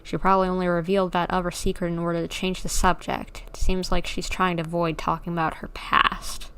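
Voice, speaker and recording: Creaky-voiced woman streamer musing aloud. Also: fairly low pitch, flat delivery, fairly quick, fairly bright tone. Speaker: game streamer. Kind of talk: casual live playthrough commentary.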